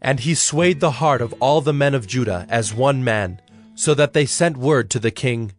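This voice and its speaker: A man narrating scripture from a script in a measured, solemn storytelling manner. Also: medium pitch, faint music behind.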